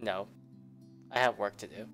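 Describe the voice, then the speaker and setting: A woman reading a visual novel aloud in a game playthrough, voicing the inner narration with quick reactions. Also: very deep voice, gravelly, a bit bright, soft background music. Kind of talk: let's play narration.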